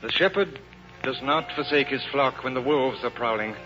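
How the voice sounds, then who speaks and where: A man's voice: medium pitch, moderate pace, sharp tone, soft background music, natural voice. An actor playing an earnest, stiff, pious missionary man in old radio drama, with solemn, formal, sometimes stammering speech. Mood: solemn resolve. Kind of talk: 1950s radio drama acting. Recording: dull old radio recording.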